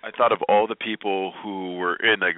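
A man's voice: fairly low pitch, level tone, normal speed, dry recording. A man speaking as an interview guest, telling personal stories in a candid, emphatic way with easy chuckles. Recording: thin, phone-like radio interview line.

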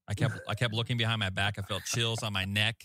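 A man's voice: on the low side, little pitch movement, fast, bright, forceful tone, no echo. A man co-hosting a podcast in casual chat, speaking emphatically and often repeating himself. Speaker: podcast co-host.

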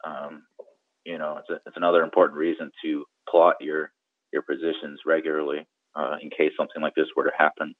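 Very deep-voiced man explaining, slightly muffled. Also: level tone, brisk, no room sound. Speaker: guest boating radio expert. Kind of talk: conversational instructional explanation.

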